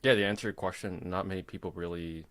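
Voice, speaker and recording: A young man in his early twenties hosts a casual live question-and-answer stream, telling his story in a loose, rambling way. His voice sits on the low side. The sound is dry and close, with no room around it. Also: fast talker, no room sound. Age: 20s. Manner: relaxed, rambling with fillers and laughs. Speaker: host.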